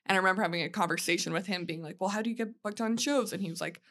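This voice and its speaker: A woman's voice: medium pitch, fairly even intonation, very fast, fairly bright tone. A woman comedian chatting casually and jokingly as a podcast guest, with lots of "like" in her talk. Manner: chatty, joking, heavy use of "like". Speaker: stand-up comedian and sketch writer, podcast guest. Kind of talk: casual chat.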